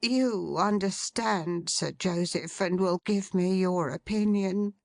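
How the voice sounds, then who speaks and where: A woman's voice: mid-range voice, slow pace, gravelly, a little mellow, no room sound, clean studio sound. A woman voicing an agitated, fussy male secretary who blurts out alarming news in breathless exclamations.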